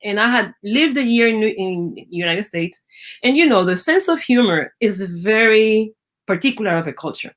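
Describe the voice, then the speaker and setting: A middle-aged woman from Venezuela with a Venezuelan Spanish accent, a native Spanish speaker and biologist, telling stories in a lively interview, acting out quoted voices and often restarting her phrases. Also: medium pitch, normal speed. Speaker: guest, biologist. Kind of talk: conversational storytelling interview.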